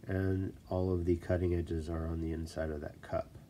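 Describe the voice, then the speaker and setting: A man with a stuffy voice from a cold, casually explaining stone setting in a relaxed, self-deprecating way at his workshop desk. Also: sits low, normal speed, slight background noise. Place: workshop desk, filmed from above.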